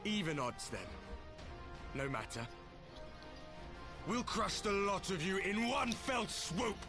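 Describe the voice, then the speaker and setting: Game villain: hot-headed fighter, high voice with dramatic swoops, threatening, music behind. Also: male voice, lively intonation, slow. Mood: defiant. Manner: threatening, theatrical. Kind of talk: video game voice acting.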